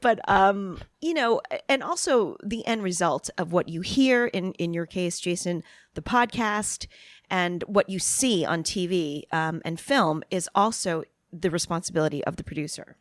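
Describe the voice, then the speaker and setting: A woman's voice: lowish voice, a little slow, dry recording, clean studio sound. A woman from New York City, a media producer, talking casually in an interview with frequent laughter and self-deprecating asides. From New York City, USA.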